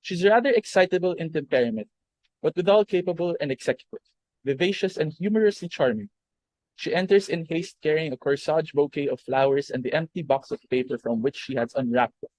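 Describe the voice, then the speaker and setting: A young man narrating the stage directions of a play in an even, descriptive reading. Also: on the high side, brisk, no room sound, low-quality recording. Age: young adult. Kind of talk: scripted narration.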